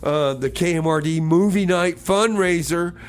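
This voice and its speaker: A man's voice: fairly high pitch, measured pace, smooth. An American man hosting a radio show with casual, rambling banter and a hesitant, exclaiming way of talking. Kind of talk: casual radio banter and song back-announcing. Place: small radio station studio.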